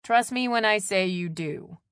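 A woman's voice: medium pitch, unhurried, a bit bright, clean tone, no echo, studio quality, lightly acted. A woman voicing a tired, no-nonsense mother in an audiobook, firm, wry and matter-of-fact. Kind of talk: audiobook character dialogue.

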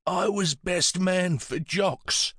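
A man voicing a frail, tired elderly war veteran who speaks in halting phrases with a croaky, wheezy voice. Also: high-pitched, a bit bright, no room sound, clean studio sound, pitched above the performer's own voice, full character voice. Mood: earnest, halting. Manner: halting, short slow phrases.

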